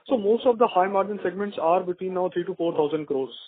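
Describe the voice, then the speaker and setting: A man's voice: sits high, fast. A man phoning in as an analyst, politely putting questions to executives on an earnings call. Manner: polite, deferential. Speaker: analyst caller. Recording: narrow radio-like phone line.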